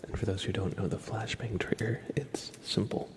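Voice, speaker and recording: A man whispering softly and casually as he hosts an ASMR livestream, in a hushed, unhurried manner. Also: fairly low pitch, fairly even intonation.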